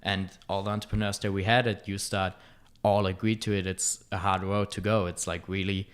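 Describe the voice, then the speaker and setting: A man's voice: deep voice, flat delivery, fairly quick, clear, resonant tone. A young man from Germany, chatting on a podcast in a hesitant way, with many fillers. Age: young adult. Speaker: student club director, podcast guest. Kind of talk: casual podcast chat.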